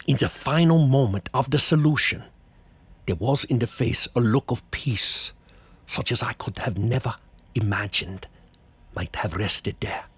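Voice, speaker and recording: An actor playing a grave, commanding vampire hunter in a horror radio drama, husky, deliberate and theatrical. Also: male voice, medium pitch. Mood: solemn, reflective.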